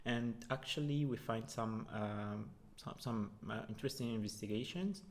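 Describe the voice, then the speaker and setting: A young man with a Moroccan accent, a native Arabic speaker, explaining his research in a halting, hesitant way as an interview guest. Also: on the low side. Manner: hesitant, halting, with repeated words. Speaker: doctoral student guest.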